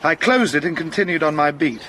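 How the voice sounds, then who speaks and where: An actor playing a humble, earnest police sergeant in a radio drama, telling events deferentially and carefully. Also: male voice, on the high side, a little fast, pushed and bright, slight background noise.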